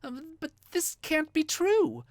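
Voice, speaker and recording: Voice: sits high, huge pitch swings, slow pace, no echo, studio quality, pitched above the performer's own voice, exaggerated voice. A storyteller voicing a modest, bewildered teenage boy in a bedtime story, hesitant and questioning. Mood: hesitant disbelief.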